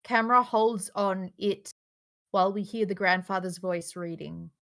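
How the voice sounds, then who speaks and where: A woman narrates a screenplay, reading scene and camera directions aloud in a live group read. Her voice sits at a middle pitch. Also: normal speed, fairly bright tone.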